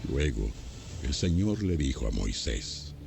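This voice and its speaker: A man's voice: rumbling low, very animated, unhurried, vocal fry, music in the background. A man narrating scripture as scripted, dramatized storytelling.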